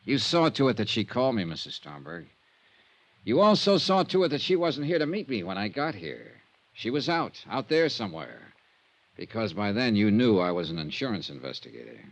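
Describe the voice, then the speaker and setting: A dogged hard-boiled investigator from a vintage radio crime serial. He has a low voice with lively swings in pitch. His delivery is terse and dry, built for narration and interrogation. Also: normal speed, gravelly, exaggerated voice. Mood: accusing. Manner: terse, dry, pressing questioner. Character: tough, dogged male insurance investigator. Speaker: lead detective and narrator. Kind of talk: hard-boiled 1950s radio detective acting.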